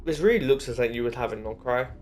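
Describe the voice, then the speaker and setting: A man's voice: mid-range voice, fast talker, faint music behind. A man giving casual live commentary as he plays through a game's story. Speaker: gamer reacting to a story quest.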